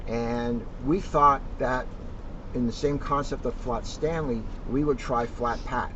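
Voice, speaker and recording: A man's voice: mid-range voice, steady tone, takes it slowly. A man, a police officer, talking casually to children as part of a picture-book read-aloud. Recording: dull lo-fi sound, loud outdoor traffic noise.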